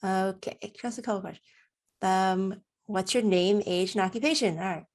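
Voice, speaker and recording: Woman, thirties, medium pitch, chatty, expressive, casual testimonial delivery. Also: normal speed. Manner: chatty, expressive, acts out her own thoughts. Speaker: student giving a testimonial. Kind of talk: casual self-recorded video testimonial.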